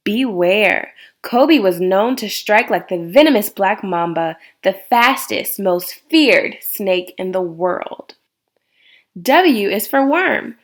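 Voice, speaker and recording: Slow, high-voiced woman reading to children. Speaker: children's book reader. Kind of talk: read-aloud of a rhyming picture book for young children.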